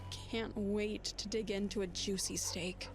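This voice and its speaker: A woman playing a relaxed, casual resort guest in a video game, making idle chat. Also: medium pitch, unhurried, background noise, lightly acted. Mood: content, casual. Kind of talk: video game dialogue acting.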